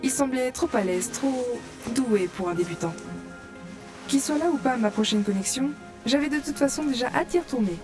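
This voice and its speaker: A woman's voice: sits high, expressive, normal speed, music in the background. A woman playing a curious young gamer who narrates her virtual-world adventure in a composed way for an audio drama. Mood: reflective, eager.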